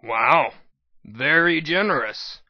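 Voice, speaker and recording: A man's voice: animated, slow, dry recording, lo-fi sound. A man voicing a casual, sardonic and suspicious male pony companion in a dramatic audiobook reading. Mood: curious. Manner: dry, casual, low-key.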